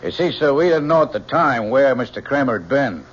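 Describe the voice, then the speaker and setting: An actor in an old-time radio drama playing a deferential police sergeant who testifies in a halting way. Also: male voice, mid-range voice, expressive, breathy edge. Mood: deferential, matter-of-fact. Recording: muffled old radio recording, faint noise.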